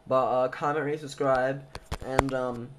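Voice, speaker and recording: Young male, informal tutorial presenter, hesitant, medium pitch, slightly noisy handheld camera sound. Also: little pitch movement, measured pace. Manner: informal, hesitant, thinking aloud. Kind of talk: casual screen tutorial. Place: indoors at a computer. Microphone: handheld camera mic.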